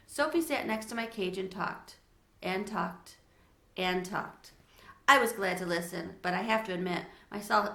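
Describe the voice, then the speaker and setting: Bright-voiced woman reading a storybook aloud. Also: medium pitch. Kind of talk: expressive children's storybook read-aloud with asides to listeners. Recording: echoey untreated room.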